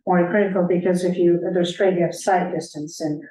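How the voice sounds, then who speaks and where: A woman's voice: on the low side, fairly even intonation, a little fast, mellow. A woman chairing a public board meeting, asking staff pointed, leading questions. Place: council meeting room. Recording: roomy, distant meeting-room mic.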